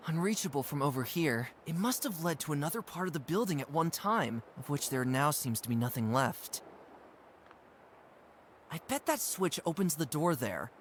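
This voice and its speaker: A young actor voicing an earnest, puzzled animal adventurer in a cartoon game, wondering aloud with expressive observations. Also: medium pitch, moderate pace, slight background noise, natural voice. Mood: curious.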